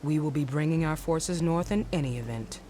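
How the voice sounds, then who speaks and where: A woman's voice: low-pitched, fairly quick, warm tone, close to an everyday voice. A woman playing a cold, zealous villainous war marshal, haughty and commanding, in a video game. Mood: imperious. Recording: clear noise behind the voice.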